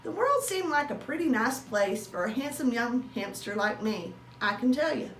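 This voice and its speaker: Woman as a young male storybook hamster, high, exclamatory, self-pleased, dramatic, echoey room. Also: very animated, normal speed, a bit bright, distant mic. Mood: contented. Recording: roomy echo with faint noise.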